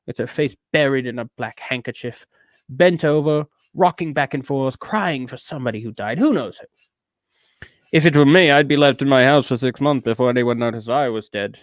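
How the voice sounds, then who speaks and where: A man's voice: medium pitch, moderate pace, dry recording, studio quality, natural voice. A man voicing a bitter, neurotic ranter in a theatrical dramatic reading, with a put-on accent that shifts around. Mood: scornful griping. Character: edgy, bitter, ranting neurotic man telling a travelogue. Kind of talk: dramatic reading of a rambling rant.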